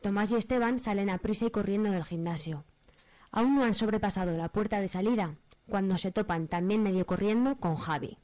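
A woman's voice: medium pitch, a little fast, mellow. A woman with an accent from Spain giving wry scripted narration for a radio soap. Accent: Spanish from Spain.

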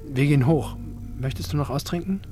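A man's voice: a little high, a little fast, warm tone, faint music behind, lightly acted. A man playing a reserved, hesitant married office worker in a naturalistic radio drama, speaking tersely. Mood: guarded.

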